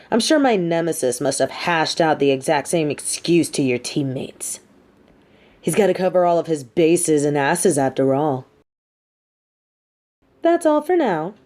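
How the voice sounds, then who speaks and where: Smug, mellow female supervillain, acted by a woman. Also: deep voice. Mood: commanding, sly. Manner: smug, articulate, sardonic. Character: female supervillain, adult, smug, mean but sympathetic captor. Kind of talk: dramatic audio roleplay monologue.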